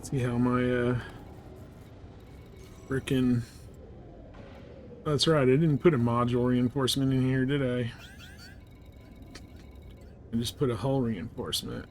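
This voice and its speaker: Male game streamer, mid-pitched, off-the-cuff slangy muttering, some game noise behind. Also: steady tone, measured pace, pauses often, a little mellow. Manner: muttering to himself, mildly profane, slangy. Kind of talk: casual live gameplay commentary.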